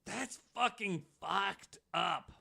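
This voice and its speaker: Man, gravelly voice, bright edge, sardonic podcast host, sweary casual banter. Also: sits high, extremely slow.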